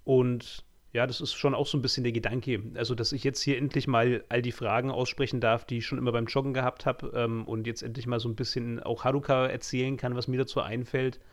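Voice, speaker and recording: A man's voice: mid-range voice, flat delivery, fairly quick, hardly any pauses. Franconian man hosting a podcast. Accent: Franconian German. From Franconia, Germany. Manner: wordy, self-deprecating, frequent ums. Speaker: podcast co-host. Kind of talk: casual podcast intro.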